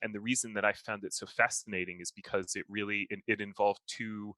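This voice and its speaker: A lower-pitched man with an American accent speaks with a bright, forward edge. He is in his thirties and tells his career story fluently and eagerly, often restarting his phrases. The sound is close and dry, with little room around it. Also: steady tone, brisk, no echo. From United States. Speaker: guest, privacy consultant. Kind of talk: remote podcast interview.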